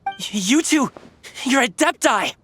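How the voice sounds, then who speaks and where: Brash, bossy, lively youth. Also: female voice, sits high, a little slow, slight background noise. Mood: cocky. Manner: pushy, cocky, slangy. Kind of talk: game cutscene acting.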